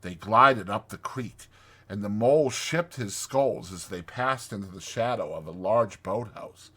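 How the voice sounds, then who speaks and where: A man's voice: fairly low pitch, measured pace, sharp tone. A man narrating a classic storybook as a dramatized audiobook reading.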